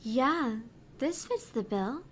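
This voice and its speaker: Girl doing a high pitched teasing talkative hacker chick, visual novel game, noisy background. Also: lively intonation, slow pace. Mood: casual. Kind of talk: visual novel voice-over read-aloud.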